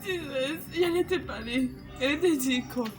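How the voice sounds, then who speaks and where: A young woman acting in a French drama as a frightened, tearful girlfriend, halting and fearful as she speaks. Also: sits high, over music, natural voice. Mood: sobbing, afraid.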